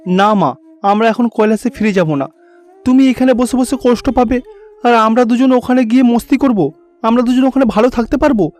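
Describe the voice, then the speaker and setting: A man's voice: extremely high pitch, normal speed, a little mellow, voice pushed up. A man voicing a devoted young boy god in a cartoon, speaking in an earnest, pleading way. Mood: devoted, insistent.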